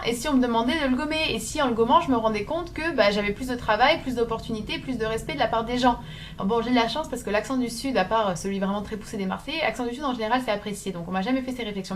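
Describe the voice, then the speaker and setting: A woman with a slight southern French accent giving an informal, opinionated talk to viewers, emphatic and insistent, sometimes searching for her words. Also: sits high. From southern France. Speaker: video blogger.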